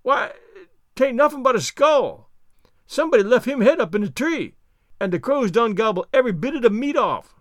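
A storybook servant, simple and obliging. His high voice rises and falls freely in folksy dialect, always literal-minded and eager to please. The sound is dry and close. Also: very animated, moderate pace, dry recording. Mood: puzzled, eager. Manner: folksy nonstandard dialect speech.

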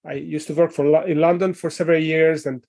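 A man's voice: on the high side, level tone, a little fast, creaky, darkish tone. A middle-aged man with a Hungarian accent, a guest answering interview questions in a thoughtful way with many fillers and restarts. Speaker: guest, leadership coach and author.

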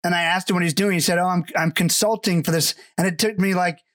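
A man's voice: high voice, steady tone, fast, fairly bright tone, no echo, clean studio sound, distant mic. A man hosting a podcast interview who tells rambling, friendly stories full of fillers. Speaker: podcast host.

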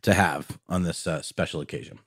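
A Southern American man with a lowish voice co-hosts a relaxed podcast, wandering through his points with plenty of ums and restarts. His voice is recorded close and dry, with no room sound. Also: a little fast, dry recording. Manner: rambling, lots of fillers and restarts. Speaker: podcast co-host. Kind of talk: casual podcast conversation.

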